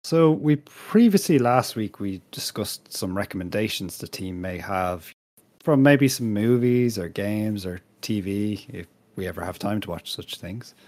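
A man's voice: on the low side, unhurried, a little mellow, dry recording. A man hosting a casual panel podcast, rambling a little and swearing freely. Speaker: podcast host.

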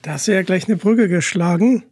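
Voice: mid-range voice, a little fast, a little mellow, husky, dry recording, clean studio sound. An interviewer talking conversationally and appreciatively with a guest.

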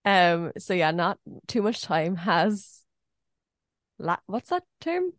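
A woman co-hosting a podcast in a chatty, giggly way, her voice a little deeper than usual after a night out. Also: very animated, takes it slowly, no room sound, studio quality. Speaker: podcast co-host. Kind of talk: casual chat. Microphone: podcast microphone.

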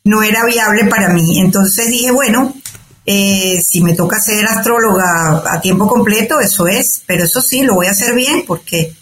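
A woman's voice: medium pitch, moderate pace, slight background noise. Older Venezuelan woman in an echoey room. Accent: Venezuelan Spanish. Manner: thoughtful, hesitant, many fillers and restarts. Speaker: guest, astrologer. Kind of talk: conversational interview.

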